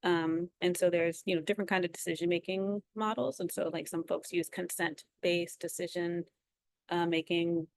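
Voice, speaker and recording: A woman's voice: fairly low pitch, a little mellow, dry recording. A woman facilitating a video-call discussion, thanking speakers and inviting others to share.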